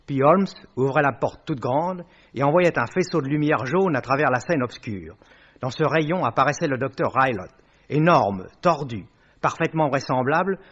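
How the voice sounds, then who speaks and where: A man's voice: medium pitch, a bit bright. A man presenting a radio series with a formal, scripted introduction, polished and courteous in manner. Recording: dull old radio broadcast recording.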